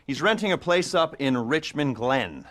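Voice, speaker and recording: A man plays a middle-aged crime boss in a video game who lays out his plans with dry sarcasm. He stumbles over his own jokes and covers them with nervous chuckles, at an ordinary mid pitch. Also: expressive, normal speed, fairly bright tone, lightly acted. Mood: wry. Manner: awkward, self-mocking, laughs nervously. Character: nervous, sardonic male criminal mastermind. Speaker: heist planner briefing a crew. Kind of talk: video game cutscene dialogue.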